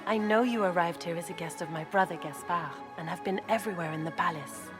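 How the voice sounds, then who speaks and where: An actress playing a scheming aristocratic grand duchess in a video game, with a French accent and formal, measured, insinuating speech. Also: female voice, lowish voice, music in the background, lightly acted. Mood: guarded, insinuating. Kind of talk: video game character acting.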